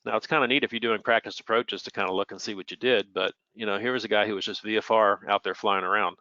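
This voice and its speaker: A man's voice: lowish voice, fairly even intonation, quick pace, sharp tone, dry recording, muffled recording. A plainspoken, matter-of-fact man giving an informal technical explanation as an expert air traffic controller.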